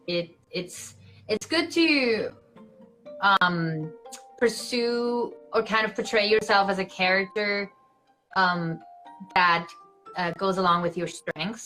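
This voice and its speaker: Swiss actress guest, young woman, bright and animated, low-set voice, light music bed. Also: poor audio. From Switzerland. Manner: animated, fillers like "like" and "you know". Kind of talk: casual interview chat.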